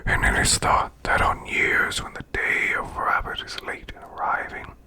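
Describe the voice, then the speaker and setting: A man voicing a demon boss who reads eerie folklore as bedtime ASMR in a slow, hushed, growling rasp with long pauses. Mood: hushed, ominous. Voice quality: growling, gravelly, breathy rasp.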